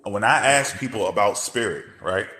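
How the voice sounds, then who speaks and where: A man's voice: lowish voice, moderate pace, bright, forceful tone. A man in a casual debate chat, talking bluntly with plenty of swearing and often cutting in over the other speaker. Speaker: show host.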